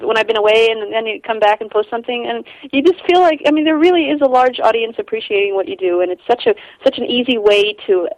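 A woman's voice: a little high, animated, fast talker, few pauses, dry recording, lo-fi sound. A young Icelandic woman with an American accent, a photographer guest chatting in an interview, rambling with lots of restarts and easy laughs. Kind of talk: casual interview conversation.

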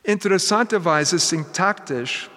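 A man preaching a sermon in German, which is not his first language; he speaks haltingly and drops in English phrases. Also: sits high, lively intonation, sharp tone. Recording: long hall reverb.

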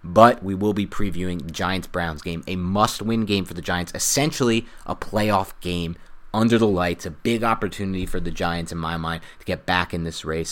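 A man's voice: deep voice, a bit bright. A man hosting a football podcast, talking in a loose, chatty way full of asides. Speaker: podcast host. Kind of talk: casual sports podcast chat.

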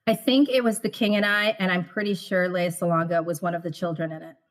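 A middle-aged woman born in the Philippines, a stage actor talking as a guest in an interview, expressive and animated, laughing often. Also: fairly low pitch, fast talker. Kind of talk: conversational interview.

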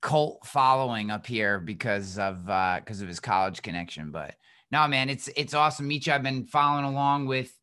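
A middle-aged man hosts a podcast in a loose, energetic way, his speech full of fillers and restarts. His voice is bright and forward, sitting at an ordinary pitch. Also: moderate pace, clear, resonant tone. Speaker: podcast host. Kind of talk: casual podcast chat and sponsor reads.

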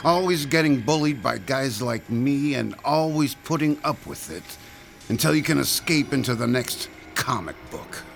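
Sneering, gravelly thug. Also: male voice, medium pitch, animated, normal speed, soft background music. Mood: mocking. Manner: taunting, mock-friendly, threatening. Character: menacing, sneering male extortionist thug, mocking bully. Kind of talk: modern audio drama acting.

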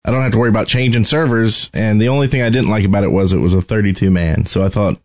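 A man's voice: fairly low pitch, steady tone, fast, a little mellow. A man co-hosting a gaming podcast, chatting casually with a wry, joking manner. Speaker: podcast co-host.

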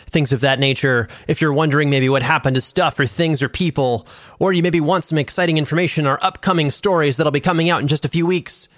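A man hosting an audio drama podcast, reading a brisk scripted sign-off. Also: mid-range voice, a bit bright, dry recording, clean studio sound. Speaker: podcast host. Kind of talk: scripted outro announcement.

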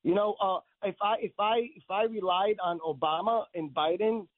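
A man's voice: high voice, normal speed, dry recording. A man from Israel, a guest on a radio interview, speaking earnestly and insistently and repeating words as he presses his points. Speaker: guest, actor and director. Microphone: phone. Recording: tinny phone line.

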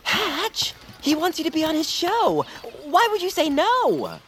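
A woman voicing a genteel, excitable, eager-to-please character in a comedy audio drama, with fussy, flowery phrasing. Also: very high voice, unhurried, a little hiss or hum, exaggerated voice.

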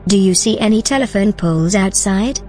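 A woman acting in an audio drama as a calm, matter-of-fact artificial intelligence. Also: mid-range voice, brisk, soft background music, natural voice. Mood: calm, reasoning.

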